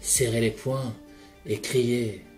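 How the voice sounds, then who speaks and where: A man leading a guided relaxation session in a calm, measured voice, speaking directly to the listener. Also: medium pitch. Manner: calm, measured second-person guiding. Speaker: hypnotherapist guide. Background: soft background music.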